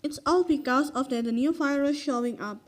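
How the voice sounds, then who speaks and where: A woman's voice: high-pitched, moderate pace, natural voice. A woman playing a loving, caring mother in an amateur radio drama, with a doting, motherly way of talking. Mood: concerned, loving.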